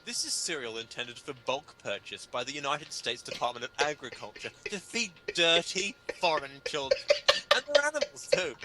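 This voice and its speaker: A man's voice: on the high side, expressive, normal speed, background noise. A man giving a dramatic comedic reading of an article, with an emphatic, mock-indignant, sardonic delivery. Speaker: reader performing a humor article.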